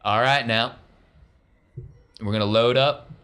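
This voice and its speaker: A man voicing a gruff, folksy rebel leader rallying fighters in a tabletop game, with commanding delivery and down-home grammar. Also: mid-range voice, steady tone, slow pace, a bit bright, voice pushed up. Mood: stern, threatening.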